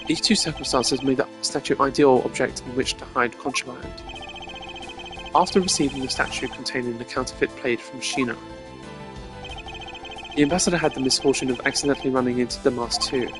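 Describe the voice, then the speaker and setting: A composed male prosecutor, acted out by a streamer, dry and exact in his formal phrasing. He lays out logic in a measured voice over clear background music, as in a detective video game. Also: mid-range voice, fairly even intonation, close to an everyday voice. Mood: accusing.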